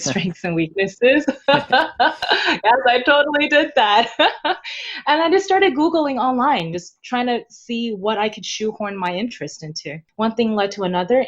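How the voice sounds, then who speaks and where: Chatty woman guest, slightly dull lo-fi audio. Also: mid-range voice. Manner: animated, self-joking, laughs often. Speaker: podcast guest, translator. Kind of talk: casual chat, personal storytelling.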